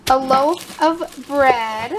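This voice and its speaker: A woman in her twenties with an American accent, talking casually through items for a home vlog in short, halting phrases. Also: high-pitched. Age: 20s. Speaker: family vlogger. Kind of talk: casual show-and-tell vlog narration. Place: home kitchen. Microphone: handheld camera at arm's length. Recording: noisy handheld camera audio in a kitchen.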